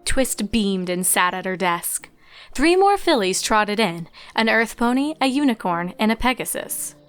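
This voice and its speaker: A woman's voice: medium pitch, animated, a little slow, smooth, dry recording. Woman narrating a story over music. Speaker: fan fiction narrator. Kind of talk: expressive scripted story narration. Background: background music.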